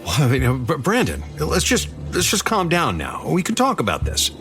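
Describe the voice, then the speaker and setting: An anxious interviewer trying to soothe, with a hugely varied pitch. His delivery is halting, in horror drama style, over faint eerie music. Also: wildly swooping pitch, fairly bright tone. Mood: nervous pleading. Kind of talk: horror audio drama dialogue.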